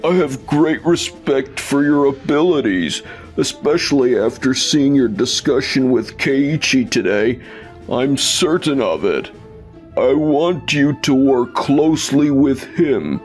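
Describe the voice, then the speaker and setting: Slow, stern company boss played by a man. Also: mid-range voice, lively intonation. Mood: solemn. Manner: deliberate, authoritative. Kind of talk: manga dub voice acting. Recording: music under the voice.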